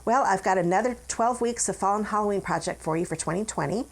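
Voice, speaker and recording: A woman's voice: mid-range voice, fast. Chatty woman teaching a craft project. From United States. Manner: chatty, upbeat, talks while working with hands. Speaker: craft demonstrator and channel host. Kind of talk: friendly step-by-step craft tutorial. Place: home craft office.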